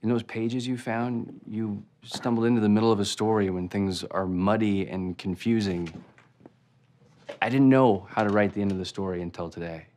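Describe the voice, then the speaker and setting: A man's voice: fairly low pitch, fairly even intonation, moderate pace, a little mellow, a little hiss or hum, natural voice. An actor in his thirties playing an earnest young playwright who declares his love in a cozy coffee shop. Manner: heartfelt, speaking at a microphone. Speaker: playwright speaking at a microphone. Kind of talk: film drama acting. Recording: film soundtrack with music underneath.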